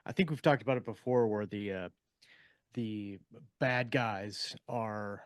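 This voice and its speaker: A man's voice: lowish voice, measured pace, clear, resonant tone, no echo, studio quality. A man co-hosting a podcast in casual conversation. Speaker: podcast co-host. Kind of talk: casual chat.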